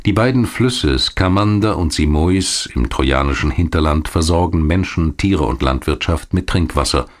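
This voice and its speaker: A male documentary narrator with a deep, low-pitched voice. He reads scripted material in a measured, factual way, setting out each sentence as information.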